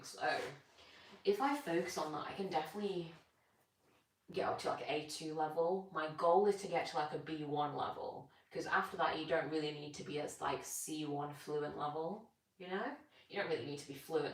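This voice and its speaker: Chatty young woman, low voice, roomy. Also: a little hiss or hum. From Britain. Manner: chatty, rambling, reads out viewer comments. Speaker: stretching coach live-streaming. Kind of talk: casual live-stream chat while stretching. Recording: echoey room, distant from mic.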